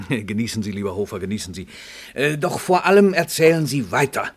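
An actor playing a vain, imperious, world-famous crime novelist in a radio play, suave and condescending. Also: male voice, on the low side, a little fast, husky. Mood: suave, amused. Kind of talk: German radio play dialogue.

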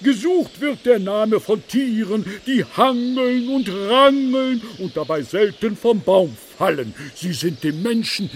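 Frog king: high, slow, swooping voice, haughty and insistent, children's radio play. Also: expressive, a little hiss or hum, heavily acted. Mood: riddling. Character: pushy frog king, hungry, demanding marriage.

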